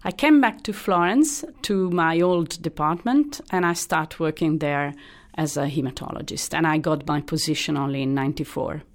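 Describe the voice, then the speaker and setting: Low-voiced Italian woman doctor. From Italy. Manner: thoughtful, halting, many restarts and fillers. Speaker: hematologist guest. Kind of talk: interview, explaining her career.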